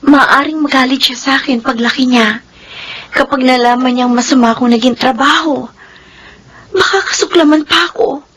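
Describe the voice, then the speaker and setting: A woman's voice: high voice, normal speed, a bit bright, husky. A Filipino woman playing an anguished, devoted young single mother in a radio drama, speaking with tearful, pleading emotion. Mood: hesitant resolve.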